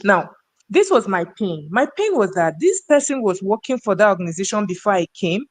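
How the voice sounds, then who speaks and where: A woman's voice: medium pitch, very animated, a little fast. A woman with a Nigerian accent telling stories and giving opinions in a discussion, emphatic and fond of rhetorical questions. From North Central Nigeria. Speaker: human resources professional, talk show guest. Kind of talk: conversational storytelling and opinion in a discussion.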